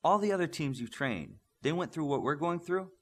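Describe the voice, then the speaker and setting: A quick-minded young male team leader from a superhero audiobook , acted by a man. He sounds inquisitive and analytical, with very varied pitch. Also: sing-song, vocal fry, breathy edge, exaggerated voice. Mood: questioning. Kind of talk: audiobook character voice.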